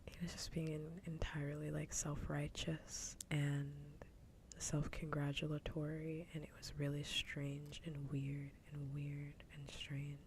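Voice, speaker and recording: Soft whispery woman rambling on an asmr stream, dark low voice, close mic, bit of rumble behind. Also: flat delivery, a little slow. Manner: rambling, conversational. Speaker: ASMR livestreamer. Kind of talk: whispered ASMR casual chat. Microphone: close ASMR microphone. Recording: low rumbling background noise.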